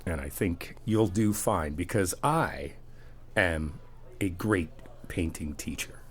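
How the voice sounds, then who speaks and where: A man's voice: low-pitched, takes it slowly. A man doing a friendly ASMR roleplay, chatty and teasing, reassuring the listener as he helps out. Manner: reassuring, helpful.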